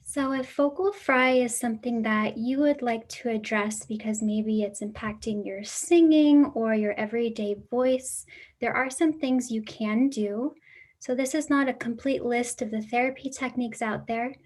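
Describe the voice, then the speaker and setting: Young female student clinician, casual friendly coaching tone, a bit high-pitched. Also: fairly even intonation, normal speed, darkish tone. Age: young adult. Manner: friendly coaching, directs others. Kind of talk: casual instructional demonstration.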